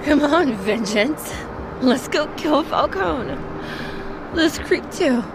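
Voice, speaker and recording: A woman's voice: high voice, expressive, slow pace, exaggerated voice. A woman playing a defiant, reckless and vengeful cat burglar in an audio drama, teasing yet determined. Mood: defiant, urgent. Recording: clearly noisy background ambience.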